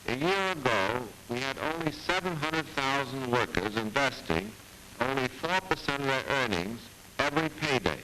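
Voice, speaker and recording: A man's voice: medium pitch, animated, moderate pace, pushed and bright, poor audio. A man, a government official, delivering a formal scripted address in 1940s style. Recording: hissy archival 1940s newsreel sound.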